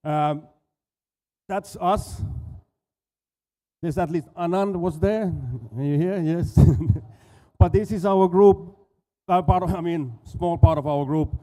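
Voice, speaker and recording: A man's voice: sits high, mellow. Finnish-accented man giving a stage talk. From Finland. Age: 40s. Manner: halting, repeats words, casual with fillers. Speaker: conference speaker, dive school owner. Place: expo stage hall.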